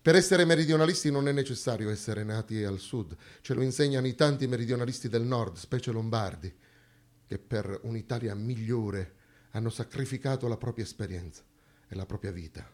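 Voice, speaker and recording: A man's voice: mid-range voice, a little fast. A man acting on stage, delivering a dramatic monologue in an emphatic, declamatory way with rhetorical repetition. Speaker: stage actor performing a theatre monologue. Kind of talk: dramatic theatrical monologue, rhetorical repeated lines.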